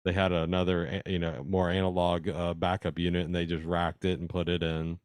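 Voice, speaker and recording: A man with a deep, very clear and smooth voice presents slides informally. He explains technical details conversationally and with evident interest, like a hobbyist addressing fellow club members. The sound is dry, with little room echo. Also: little pitch movement, a little fast. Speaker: presenter at an amateur radio club meeting.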